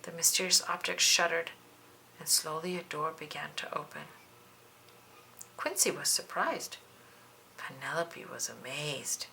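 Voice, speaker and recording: A woman's voice: low voice, animated, a little slow, vocal fry, pauses often, bright, forceful tone, slight background noise, low-quality recording. A woman reading a children's storybook aloud, narrating expressively and dramatically. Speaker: children's book reader.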